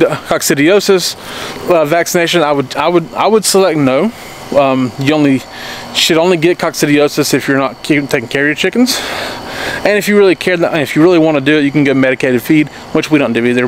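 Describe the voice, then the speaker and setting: A man's voice: fairly high pitch, slight background noise. Rambling man vlogging in his backyard. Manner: rambling, frequent restarts and "okay?" checks. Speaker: homesteading vlogger. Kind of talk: casual explainer vlog. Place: backyard outdoors. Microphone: handheld camera at arm's length.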